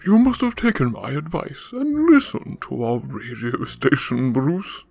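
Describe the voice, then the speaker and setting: An actor playing a suave, pompous older crime boss with an oily, formal manner in a radio drama. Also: male voice, mid-range voice, very animated, unhurried, creaky, a little mellow, faint music behind, heavily acted. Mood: knowing, wry.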